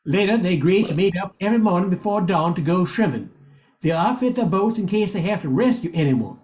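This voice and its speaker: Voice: mid-range voice, lively intonation, brisk, darkish tone. An older amateur narrator reading a scripted radio play, occasionally stumbling and repeating words.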